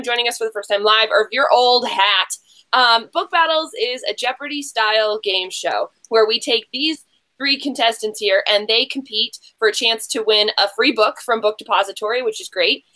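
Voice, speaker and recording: A woman's voice: a little high, normal speed, bright, forceful tone. A woman hosting a casual livestream game show in a chatty, gushing way, rambling and interrupting herself with slangy asides.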